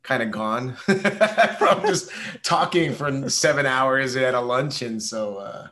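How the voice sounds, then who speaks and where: Hoarse man, rambling casual chat. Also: mid-range voice, lively intonation, slow pace, pushed and bright. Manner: rambling, many fillers and restarts. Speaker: podcast co-host.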